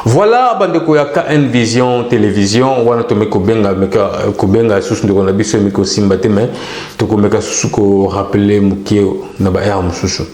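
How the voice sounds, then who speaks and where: Homme à la voix grave, salle résonnante. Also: male voice, fairly low pitch, moderate pace, a little mellow, roomy sound. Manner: repeats greetings into the line, mixes French and Lingala. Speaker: web TV host taking callers. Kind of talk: casual call-in show hosting.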